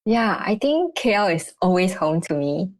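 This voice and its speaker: A young woman, a dietitian answering interview questions, bubbly and halting, with many restarts. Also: lowish voice, very animated, no room sound. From Malaysia. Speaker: guest, clinical dietitian.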